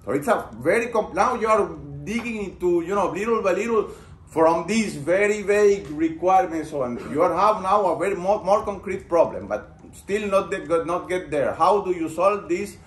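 A man's voice: high-pitched, moderate pace, a little hiss or hum. A man lecturing a university class, posing questions to the students and building on their answers. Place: lecture room. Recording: roomy lecture-room echo.

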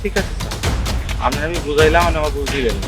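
A man's voice: fairly high pitch, racing, music in the background, lightly acted. A man with a colloquial Bangladeshi accent playing a nagging, indignant servant who complains to his boss in a web drama.

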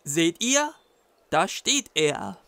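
A man's voice: fairly high pitch, sing-song, extremely slow, gravelly, pushed and bright, slight background noise, heavily acted. A man voicing an eager young boy who is a wizard's apprentice in a children's audio drama, patiently explaining things. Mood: encouraging. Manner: helpful, explaining.

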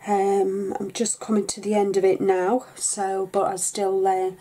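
A woman talks slowly to a webcam at her desk, her voice mellow and unhurried. She rambles from one thing to the next with lots of ums. A faint ambient hum sits behind her. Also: mid-range voice, completely flat delivery. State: bunged up with a cold, coughing. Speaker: craft vlogger. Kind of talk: casual chat to camera. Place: craft room at home, indoors.

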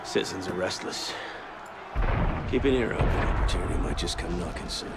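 An actor playing a gruff, middle-aged prisoner mentor in a game cutscene, gravelly, taunting and commanding. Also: male voice, low-pitched, animated, brisk. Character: gruff, weathered older male prisoner, a mocking assassin mentor. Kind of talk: video game cutscene acting. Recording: noisy game audio with outdoor ambience.

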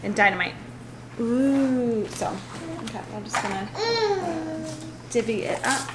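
A woman in her thirties chatting casually to a handheld camera at home, bubbly and quick to laugh. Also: fairly high pitch, very noisy. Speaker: vlogger, new mom. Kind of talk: casual vlog chat. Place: large bright home kitchen and bedroom. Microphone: camera held at arm's length.

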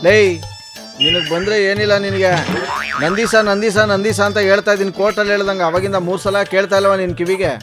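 A man's voice: high voice, level tone, fast talker, music in the background, close to an everyday voice. A man playing an irate, bullying customer in a prank phone call, hectoring and sarcastic. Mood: angry bullying. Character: irate, bullying male customer demanding a hired vehicle. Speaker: radio prank-call host.

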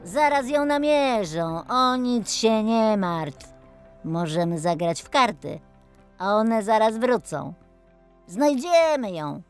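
A woman's voice: a little high, animated, slow, clean tone, voice pushed up. A cocky, streetwise old gangster car from a children's storybook, acted by a woman. He talks in a raised, commanding voice over music, like a small boss who runs the streets.

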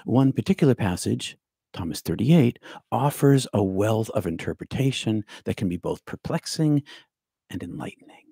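Older male spiritual teacher, low gravelly voice, reads from notes to camera in a home study on a stand mic. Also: animated, moderate pace, dark tone, no echo, clean studio sound. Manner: reads from notes, drops to near whisper for emphasis. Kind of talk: scripted spiritual talk to camera. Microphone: studio mic on stand with headphones.

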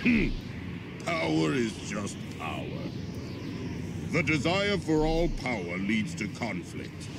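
A menacing military tyrant from a video game, grandiose and hungry for power. His speech is slow and deliberate, swinging dramatically in pitch. Loud game rumble surrounds him. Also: very animated, creaky, exaggerated voice. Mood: imperious. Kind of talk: video game villain acting.

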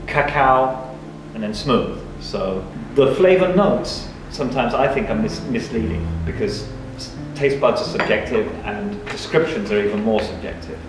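A young man hosts a relaxed coffee tasting, talking with enthusiasm and often restarting his thoughts. He sounds set back from the microphone in a reverberant cafe room. Music plays clearly behind him. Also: medium pitch, normal speed, few pauses. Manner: enthusiastic, thinks aloud with restarts. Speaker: coffee reviewer and show host. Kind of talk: casual tasting chat. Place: dim cafe interior, in a small room. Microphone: far camera mic. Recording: roomy, distant, with music behind. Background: background music.

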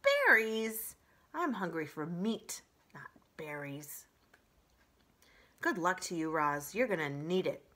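A sly, hungry male fox from a children's storybook, played by a woman reading aloud. He is vain, whiny and demanding, with a bright voice that swings dramatically in pitch. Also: big pitch swings, slow pace, heavily acted. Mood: grumbling. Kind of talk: children's book read-aloud.

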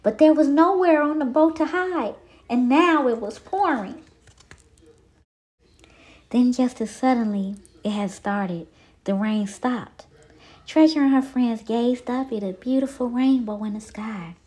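A woman reading a picture storybook aloud to young children, with theatrical, drawn-out emphasis. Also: on the high side, a little mellow, husky.

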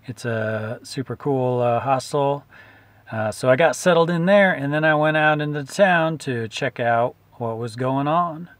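A man's voice: mid-range voice, unhurried. A man in his thirties talking casually to a close camera from inside a car, easygoing with frequent "uh" fillers. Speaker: vlogger and musician. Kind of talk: casual travel vlog narration. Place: inside a parked car at night. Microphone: camera facing him at close range.

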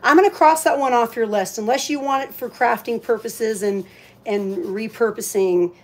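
A woman's voice: on the high side, moderate pace. A woman hosting a live auction in casual, chatty talk, thinking aloud as she handles items. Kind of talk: casual livestream sales chat.

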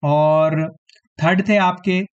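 A man teaching a live online exam-prep quiz, slightly hoarse, clearing his throat now and then and tagging his sentences with a question of agreement to his students. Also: fairly high pitch, steady tone, takes it slowly, highs cut off. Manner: repeats "theek hai" as a tag, addresses students directly.

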